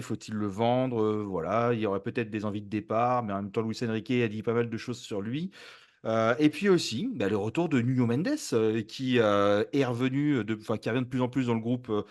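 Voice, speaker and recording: A man hosting a casual football fan show, halting and wordy, often circling back to rephrase. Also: medium pitch, darkish tone. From France. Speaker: host of a football fan channel. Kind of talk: casual sports chat.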